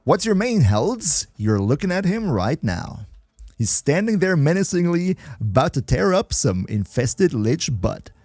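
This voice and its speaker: A young man with a German accent hosts a gaming livestream and keeps up an easygoing, lively chat with his viewers. His pitch swings up and down a lot as he talks, and the recording is dry and close, with no room sound. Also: a little slow, no echo. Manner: chatty, reads and answers chat, laughs often. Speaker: livestream host.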